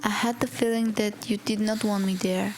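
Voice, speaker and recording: A woman voicing a wistful, grieving grown daughter in an animated film, with a subdued, matter-of-fact delivery. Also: medium pitch, moderate pace, slight background noise, lightly acted. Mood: sad. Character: grieving grown daughter addressing her dead father, wistful. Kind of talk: scripted animated documentary narration.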